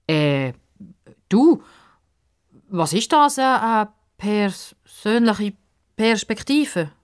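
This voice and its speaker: A woman's voice: medium pitch, big pitch swings, very slow, clean tone. A woman voicing an eager, sceptical young girl in a children's story, direct and questioning, with a Swiss German accent. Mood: curious.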